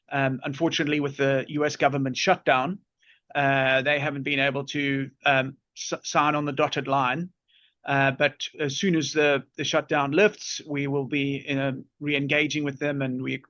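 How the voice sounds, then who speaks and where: Monotone finance guy on earnings call, stop-start talking, repeats words, muffled dry line. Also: fairly high pitch, dry recording. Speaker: company finance executive. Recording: dull, band-limited conference call audio.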